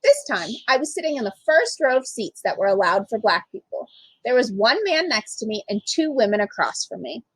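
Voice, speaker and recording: A woman reads a picture book aloud for children with a highish, very animated voice. There is a slight huskiness to it, and she leans on key words with dramatic pauses. The sound is dry, with little room around it. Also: husky, no echo, rough recording. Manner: emphatic, with dramatic pauses and acted dialogue. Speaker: storytime reader, literacy program manager.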